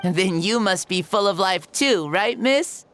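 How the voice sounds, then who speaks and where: An actress voicing an innocent, eager little child in a video game, wide-eyed and curious in a singsong way. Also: female voice, medium pitch, expressive, measured pace, a bit bright, soft background music. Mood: excited.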